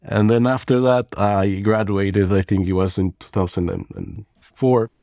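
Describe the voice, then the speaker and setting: Deep-voiced Mexican man, thoughtful guest. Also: steady tone, measured pace, dark tone, no echo, clean studio sound. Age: 40s. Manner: thoughtful, rambling with frequent restarts and fillers. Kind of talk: conversational interview.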